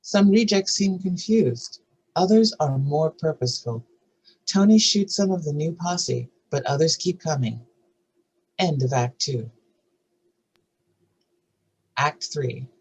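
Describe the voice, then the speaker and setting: Husky narrator voice reading out script directions at a table read, medium pitch. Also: expressive, slow, pauses often, a little mellow, highs cut off.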